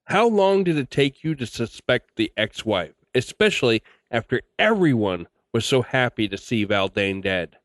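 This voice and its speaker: A man's voice: mid-range voice, big pitch swings, moderate pace, hardly any pauses, dry recording, clean studio sound. A man hosting a podcast, reading scripted commentary and sign-off. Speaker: podcast host.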